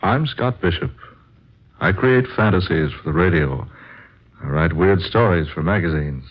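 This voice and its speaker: Earnest, brooding fantasy writer: deep, deliberate delivery, vintage radio drama, slightly muffled sound. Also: male voice, husky. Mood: solemn, confiding. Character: earnest, troubled male fantasy writer searching for truth. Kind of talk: 1940s radio drama acting and narration.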